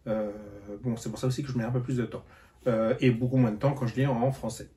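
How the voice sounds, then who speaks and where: A man's voice: medium pitch, fairly even intonation, quick pace, dark tone. A tired man chatting casually in a book vlog, hesitant and full of fillers and restarts. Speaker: book vlogger. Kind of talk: casual reading-update vlog.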